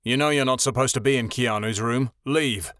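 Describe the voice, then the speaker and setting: A man's voice: medium pitch, normal speed, fairly bright tone, no room sound, clean studio sound, natural voice. A man voicing a middle-aged father who speaks sternly and sounds hurt. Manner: harsh then pleading.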